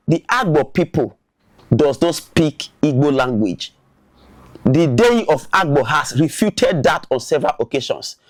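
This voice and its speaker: A man's voice: fairly high pitch, no room sound, poor audio. Emphatic man in a dry room. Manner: emphatic, argumentative, repeats words. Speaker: online commentator. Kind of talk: opinion commentary to camera.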